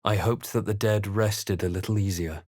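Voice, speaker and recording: Brooding male game hero, played by a man, grave storyteller delivery, lowish pitch, close dry sound. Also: fairly even intonation, moderate pace, darkish tone, no echo, natural voice. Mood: grave, dramatic. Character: male adventurer hero, composed, brooding, recounting his journey. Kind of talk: video game voice lines, dramatic first-person narration.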